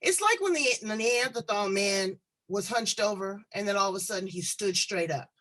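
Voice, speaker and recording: A woman talk show host with a bright, forceful voice that swings widely in pitch. She talks in a playful, animated way with slangy asides, sitting on a video call. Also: moderate pace, low-quality recording. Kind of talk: lively talk show chat.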